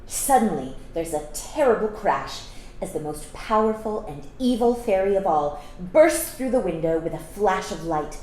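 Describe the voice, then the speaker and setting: A woman reading a children's story aloud, adding gentle, teacherly explanations for young listeners. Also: medium pitch, animated, normal speed. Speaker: storytime reader. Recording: roomy echo, some background noise.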